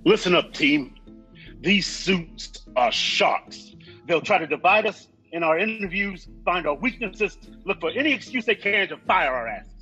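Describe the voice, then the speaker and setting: A man's voice: high-pitched, lively intonation, measured pace, sharp tone, soft background music, exaggerated voice, rough recording. A man acting a blustering, boastful store manager, blunt and emphatic, in a live comedy script read. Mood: urgent.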